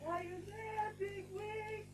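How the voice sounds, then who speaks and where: Eerie, very high wailing voice. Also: female voice, little pitch movement, crowd noise. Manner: drawn-out wailing calls. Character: eerie wailing voice calling out in the dark. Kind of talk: audio drama acting. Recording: music behind the voice.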